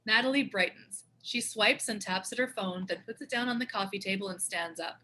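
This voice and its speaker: A woman's voice: medium pitch, brisk, pushed and bright, rough recording. A woman reading stage directions as scripted narration for a screenplay table read.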